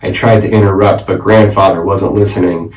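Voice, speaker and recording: A man narrating a children's storybook in an expressive, dramatic way, like a teacher reading aloud. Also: sits low, brisk. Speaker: reader, teacher. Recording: roomy echo, slightly distant mic.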